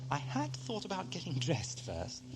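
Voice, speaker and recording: Male actor as eccentric British time-travelling Doctor, animated swooping delivery, absent-minded, playful, audio drama with music behind. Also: on the high side, normal speed, poor audio. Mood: jaunty, whimsical. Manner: playful, musing, hesitant, quaintly polite British phrasing. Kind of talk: amateur audio drama acting, 1980s.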